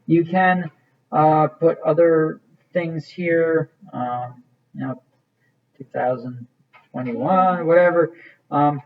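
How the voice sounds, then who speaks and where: A man's voice: fairly high pitch, dull audio. A man teaching an art class, walking students through a clay project in a casual, rambling way with many fillers. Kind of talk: classroom demonstration.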